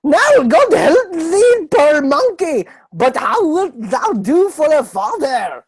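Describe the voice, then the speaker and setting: A man's voice: very high-pitched, very animated, slow, no echo, studio quality, full character voice. A man playing an anxious young actor who takes women's parts in an audio play, nervous and theatrical. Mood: theatrical, pleading. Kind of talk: stage-style audio drama acting. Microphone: video call recording.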